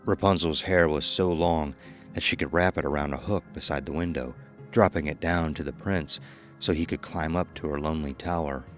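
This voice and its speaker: A man's voice: extremely low pitch, fairly even intonation, moderate pace, soft background music. A man narrating a scripted horror story as the storyteller of an audio drama.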